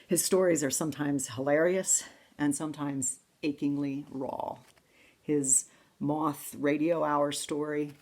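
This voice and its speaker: A woman pastor giving a reflective sermon, reading poetry in a slow, intimate way. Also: low voice, creaky. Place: church service, streamed online.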